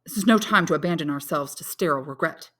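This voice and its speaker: A woman's voice: low-pitched, fast talker, sharp tone. A woman voicing an intense, honorable, lovelorn young man in a dramatic audiobook, speaking earnestly and solemnly. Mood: urgent, challenging. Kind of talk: dramatic audiobook character reading.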